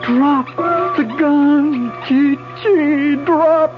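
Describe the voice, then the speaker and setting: An actor playing a wounded man who narrates an old-time radio drama, intense, halting and suspenseful. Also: very high-pitched, fairly even intonation, exaggerated voice. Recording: muffled vintage radio recording, music behind.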